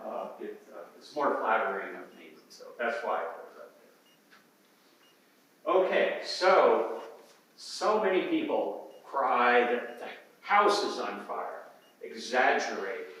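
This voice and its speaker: An older man, an emeritus professor, giving an academic talk at a symposium with an unhurried, emphatic manner and some hesitations. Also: mid-range voice, fairly bright tone, a little hiss or hum. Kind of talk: academic presentation. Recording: very echoey hall, voice from far off the mic.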